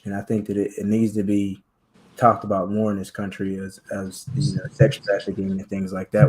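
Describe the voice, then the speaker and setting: Low-voiced man hosting a faith sports chat. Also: a little mellow, low-quality recording. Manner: halting, many fillers and restarts. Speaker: podcast host.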